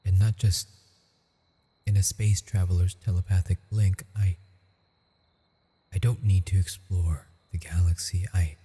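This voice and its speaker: A man playing a lonely, tender ghost lover in a romantic ASMR roleplay, speaking haltingly and intimately in a breathy, husky voice. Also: sits low, flat delivery, mellow, full character voice. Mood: intimate, devoted. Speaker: audio roleplay performer. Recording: long reverb with music behind.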